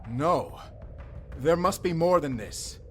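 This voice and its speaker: A man's voice: fairly high pitch, animated, takes it slowly, a bit bright, soft background music. A man playing a proud, loyal human leader in a fantasy audio drama, speaking formally and firmly. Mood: firm, earnest. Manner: formal, firm. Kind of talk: fantasy audio roleplay acting.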